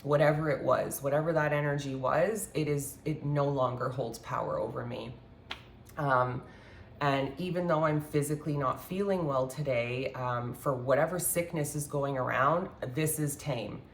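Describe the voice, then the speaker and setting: A woman with a congested, slightly hoarse voice giving a rambling, earnest spiritual talk with many fillers while doing a card reading at her home altar. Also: extremely low pitch, steady tone, normal speed, a little hiss or hum. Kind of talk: casual spiritual talk to viewers. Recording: echoey room, faint ambience.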